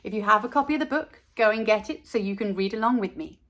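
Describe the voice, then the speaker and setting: A woman's voice: medium pitch, quick pace, a bit bright. A woman hosting storytime and reading a children's picture book aloud as narrator.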